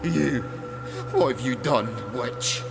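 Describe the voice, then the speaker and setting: An embittered, power-hungry king in a fantasy radio play; unhurried, intense, creaky-voiced with big swings, underscored by music. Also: medium pitch, expressive. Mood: enraged. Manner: brooding, intense, dramatic. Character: bitter, proud young king hungry for godlike power. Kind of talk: audio drama acting.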